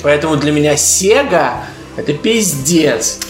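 A man's voice: a little high, lively intonation, a little slow. A man from Latvia with a light Latvian accent, hosting a casual comedy podcast in an ironic, joking manner. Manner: ironic, joking, mock-pompous. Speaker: podcast host. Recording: echoey room with music bed.

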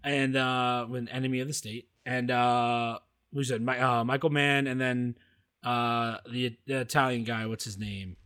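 A man's voice: medium pitch, little pitch movement, unhurried, bright, forceful tone, clean tone. A man co-hosting a podcast, talking casually about films and often searching for his words. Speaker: podcast co-host. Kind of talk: casual film discussion.

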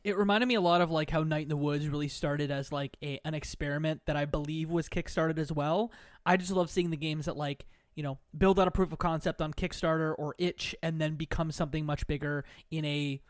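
A man with a fairly high, very clear voice, recorded dry and close. He talks casually as a podcast guest, with an enthusiastic way of putting things across. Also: steady tone, brisk, no echo.

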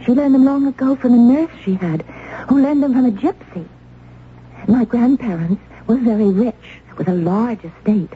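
An actress playing a frightened, gentle young maid held prisoner as a witch, tremulous and hesitant, in a radio drama. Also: on the high side, moderate pace, lightly acted. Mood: timid, earnest. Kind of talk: old-time radio acting. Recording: tinny, hissy old radio recording.

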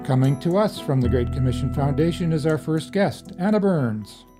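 A man's voice: a little high, lively intonation, moderate pace, music in the background. A man hosting a podcast, reading scripted introductions and announcements. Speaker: podcast host.

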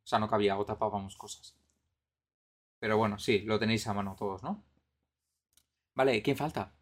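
A man's voice: fairly low pitch, a little fast. A man with a Madrid Spanish accent, hosting a casual live stream and commentating on a game in a bemused, questioning way. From Madrid area, Spain. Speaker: podcast host and streamer.

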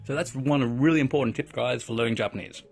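A man, hosting a casual solo podcast in a chatty, upbeat way with lots of shout-outs and asides. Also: medium pitch, fast talker, vocal fry, faint music behind. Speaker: podcast host.

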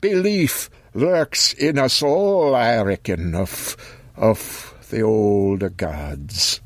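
A man's voice: lowish voice, lively intonation, extremely slow, dry recording, voice pushed up, exaggerated voice. A man voicing an old male scholar who speaks in an archaic way with Scots and German touches, weary and cryptic. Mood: urgent.

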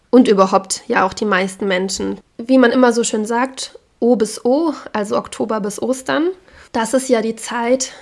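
A woman hosting a podcast, speaking frankly and with opinions while hoarse from a cold. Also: fairly high pitch. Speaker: podcast host. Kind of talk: casual solo podcast talk.

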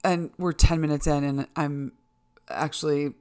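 A woman's voice: low-pitched, fairly even intonation, a little slow, mellow, clear, resonant tone. A middle-aged American woman hosting a solo podcast, talking candidly and casually to listeners in an informal, mildly sweary way. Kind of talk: casual conversational monologue to listeners.

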